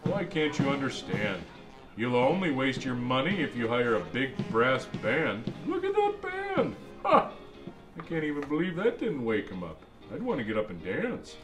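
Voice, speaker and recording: A man's voice: mid-range voice, huge pitch swings, normal speed, rough recording. A man voicing a jolly old Santa Claus who reads a picture book to kids in a chatty, exclaiming way. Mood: jolly, delighted. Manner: exclaiming, chatty asides between lines. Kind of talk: animated storybook read-aloud. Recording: music behind the voice.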